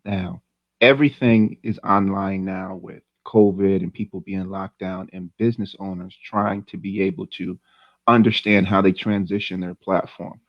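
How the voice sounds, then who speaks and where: Easygoing low-voiced man hosting a podcast. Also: fairly even intonation, moderate pace, dry recording. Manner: easygoing, chatty, long rambling questions. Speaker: podcast co-host. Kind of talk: casual interview hosting. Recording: dull lo-fi dry sound.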